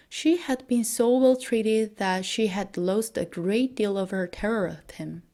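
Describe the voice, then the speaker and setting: A woman's voice: mid-range voice, darkish tone. Woman narrating a bedtime fairy tale. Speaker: storyteller.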